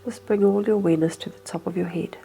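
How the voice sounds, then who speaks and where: A woman guides a meditation in a slightly low, mellow voice. Her delivery is hushed and soothing, with unhurried, hypnotic phrasing and a gravelly creak at the edges. Faint music plays behind her. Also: fairly even intonation.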